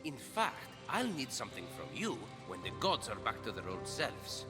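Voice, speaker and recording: Confident teasing hero bro in a game, super animated voice, music behind. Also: male voice, medium pitch, moderate pace. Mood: reassuring, cocky. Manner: cocky, teasing. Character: confident roguish older brother, heroic warrior. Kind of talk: video game cutscene acting.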